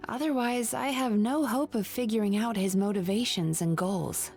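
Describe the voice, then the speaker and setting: A woman playing a composed, analytical young female therapist in a video game, speaking in a measured, explanatory way. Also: medium pitch, darkish tone, soft background music, close to an everyday voice. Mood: concerned. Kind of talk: video game dialogue acting.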